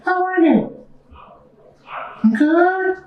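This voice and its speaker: A woman voicing a young, squeaky monster puppet telling stories in a simple, childlike way at a live puppet show. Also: fairly even intonation, very slow, muffled recording, heavily acted. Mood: friendly. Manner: childlike, simple storytelling to an audience. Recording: echoey room, slightly distant mic.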